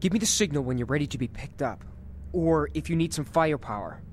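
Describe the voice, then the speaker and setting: A man's voice: on the high side, expressive, quick pace, slight background noise, natural voice. Man playing a wry, easygoing starship pilot. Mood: concerned. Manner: casual, sardonic. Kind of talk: audio drama acting.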